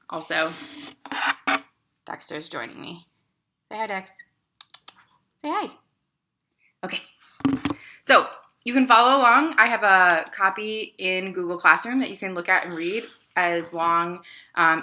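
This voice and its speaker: A woman's voice: on the low side, sharp tone, slight background noise. A woman speaking casually as a teacher introducing a story she will read to her students.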